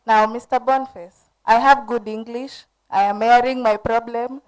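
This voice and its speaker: A woman from Kenya moderating a conference panel in a playful, brisk way. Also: on the high side, fairly even intonation, poor audio.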